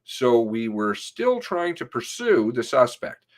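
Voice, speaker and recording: A man reads an interview transcript aloud at a slow, halting pace. His mid-range voice moves up and down in an animated way, and he breaks away from the text now and then to add asides. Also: mid-range voice.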